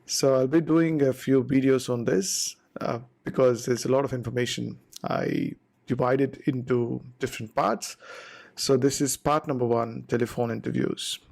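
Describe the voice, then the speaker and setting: A man giving an informal explainer talk to viewers, deliberate and step-by-step in short phrases. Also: medium pitch, steady tone, gravelly, darkish tone. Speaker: presenter explaining visa procedures.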